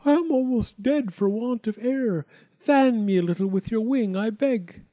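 A man as high, begging fire spirit. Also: measured pace, warm tone, dry recording. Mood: urgent pleading. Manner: urgent, begging. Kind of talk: storybook character voice.

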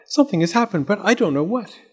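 A man voicing an excitable little female storybook creature in a raised, breathless, husky voice. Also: high voice, fast talker, voice pushed up. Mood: alarmed.

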